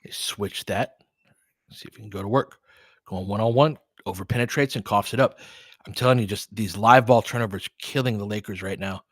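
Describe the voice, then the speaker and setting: A man's voice: lowish voice, fairly quick, dry recording, studio quality. A man giving live basketball play-by-play and casual analysis as a candid, colloquial fan commentator who reacts openly and swears.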